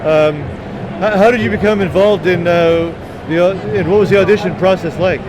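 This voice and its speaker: A man doing a casual fan interview, halting, nervous and starstruck, as he puts questions to his guest. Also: high voice. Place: busy fan convention. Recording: noisy convention floor with crowd chatter.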